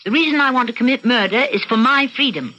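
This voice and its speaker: Radio mystery casting: restless, argumentative wife with murder in mind, keen bright voice, muffled noisy vintage recording. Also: female voice, on the high side, animated, quick pace. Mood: defiant. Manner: argumentative, self-justifying. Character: bored, defiant housewife confessing murderous wishes to her psychiatrist. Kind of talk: old-time radio mystery acting.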